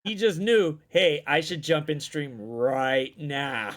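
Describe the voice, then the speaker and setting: A man hosting a casual stream chat, excitable and loose, swearing freely and repeating himself. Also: fairly high pitch, slow pace. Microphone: desk microphone.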